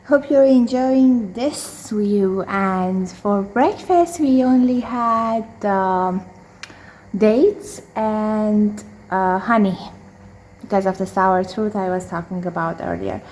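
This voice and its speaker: A hoarse woman talking through her day in a casual home vlog, slow and hesitant with many fillers as she cooks. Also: mid-range voice, mellow. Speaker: vlogger. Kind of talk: casual daily vlog while cooking. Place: home kitchen with windows open. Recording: home recording with outside traffic noise. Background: street and vehicle noise from outside.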